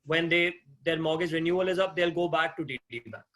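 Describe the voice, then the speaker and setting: A man's voice: a little high, brisk, fairly bright tone. Man with an accent giving an online lecture. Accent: non-native English accent. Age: 30s. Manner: conversational, asks the class questions, frequent restarts. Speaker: guest lecturer, mortgage agent. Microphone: video call.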